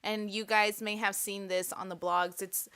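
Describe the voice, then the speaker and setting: A young woman talks in a loose, filler-heavy way, with some swearing, as she co-hosts a chat podcast. Her voice has a bright, forward edge. She comes from a Mexican-American family. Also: medium pitch, little pitch movement, moderate pace, smooth. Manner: chatty, lots of "like", swears. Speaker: podcast co-host. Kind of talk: casual chat.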